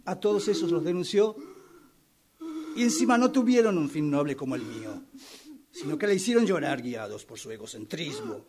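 A man's voice: a little high, very animated, normal speed, crowd noise. A man acting in a radio drama workshop as a menacing yet soothing character, insistent and self-justifying, addressing his listener formally. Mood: indignant, self-justifying.

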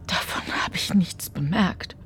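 A woman playing an anxious, guarded farmer's wife in a radio drama, speaking in a hushed, breathy, urgent way. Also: unhurried, in a whisper, lightly acted. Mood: guarded, resentful.

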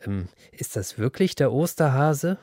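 A man playing a curious, excited onlooker. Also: medium pitch, unhurried, slight background noise. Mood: curious, startled.

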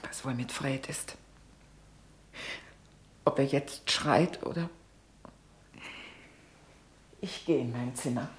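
A woman's voice: extremely low pitch, measured pace. An actress playing a hurt, reproachful middle-aged wife and mother in a German radio drama, with a wounded, pointed delivery. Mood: subdued, guilty.

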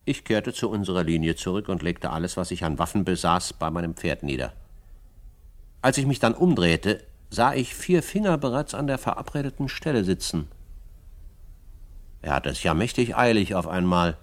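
An actor playing a self-assured frontiersman who narrates his own adventures in a radio drama, in a measured storytelling manner. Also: deep voice, expressive. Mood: assured, suspenseful. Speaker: first-person narrator.

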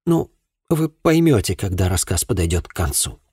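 A man voicing a distressed young housemaid in an audiobook, speaking in a halting, plaintive, anxious way. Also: deep voice, sing-song, dark tone, husky, dry recording, clean studio sound, heavily acted. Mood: embarrassed, pleading. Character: distressed young housemaid woman recounting an assault. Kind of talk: acted audiobook dialogue.

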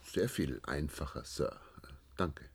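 Deep-voiced, guarded subordinate. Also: male voice, slow, vocal fry, breathy edge, full character voice. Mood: guarded, deferential. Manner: terse, guarded, formal. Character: reserved, deferential younger male subordinate. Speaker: radio drama actor. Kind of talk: German science-fiction radio play acting. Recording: faintly noisy old radio recording.

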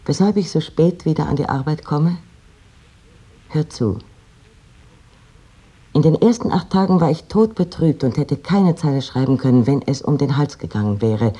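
A man's voice: a little high, warm tone, breathy edge. A man playing an affectionate, self-mocking letter writer in a literary radio play, intimate and wry. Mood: confiding.

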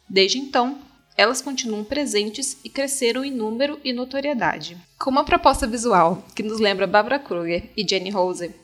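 A woman's voice: a little high, fairly bright tone. A woman with a Brazilian accent presenting a podcast in scripted narration, articulate and opinionated.

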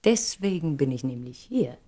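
An actress in a German radio drama playing an elegant, self-assured younger woman, articulate and deliberate, with a probing way of speaking. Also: low voice, very animated, dark tone, husky, heavily acted. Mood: reproachful.

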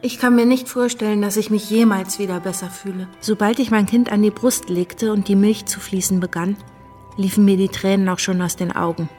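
A woman's voice: medium pitch, fairly even intonation, brisk, dark tone, faint music behind, natural voice. An actress playing a melancholy, disillusioned young wife and mother, confiding in a radio drama monologue. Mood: weary, wistful.

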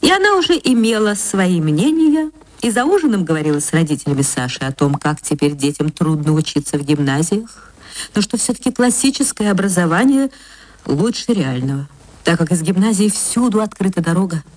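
A woman reading a literary story for radio as narrator, with an expressive, dramatic and deliberate delivery. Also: fairly low pitch.

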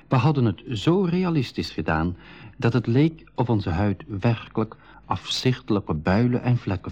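Husky, low-voiced storyteller. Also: male voice, a little mellow. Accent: Flemish. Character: storytelling adventurer addressing young listeners. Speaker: narrator. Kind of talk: scripted radio play narration for children. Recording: dull, band-limited 1980s radio recording.

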